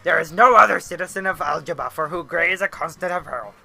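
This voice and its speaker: A storyteller voicing a curious bear shopkeeper with a gruff voice and formal, old-fashioned phrasing. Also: medium pitch, fast talker, pushed and bright, faint music behind, exaggerated voice. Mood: pleased, confiding. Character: broad-faced, curious bear shopkeeper, grandly pleased.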